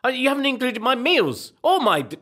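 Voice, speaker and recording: Guy doing a defensive husband, radio play comedy, very animated, a bit evasive and hesitant. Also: very high voice, brisk, full character voice. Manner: protesting, hesitant when cornered.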